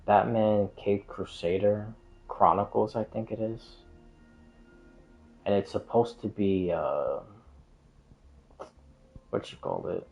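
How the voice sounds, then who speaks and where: A man with a low voice talks casually on a game livestream, in dull lo-fi audio. He takes his time, meandering hesitantly and circling back over the same points at a slow pace. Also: creaky, a little mellow. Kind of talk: casual rambling stream chat. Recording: dull, muffled lo-fi stream audio.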